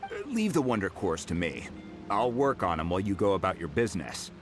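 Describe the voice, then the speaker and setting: Animated, easygoing game blacksmith. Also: male voice, low voice, brisk. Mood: reassuring. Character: easygoing, modest master blacksmith, adult man. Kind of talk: video game voice acting. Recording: clear music under the voice.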